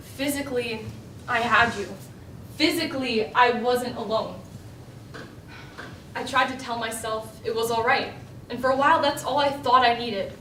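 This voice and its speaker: A woman's voice: a little high, a bit bright, a little hiss or hum, natural voice. A young woman acting in a stage play as Marie, a partner worn down by belittling, speaking with bitter emotion, heard from far off in an echoing hall. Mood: sorrowful. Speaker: student actor. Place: school stage. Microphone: distant audience camera. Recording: echoey stage recorded from far off.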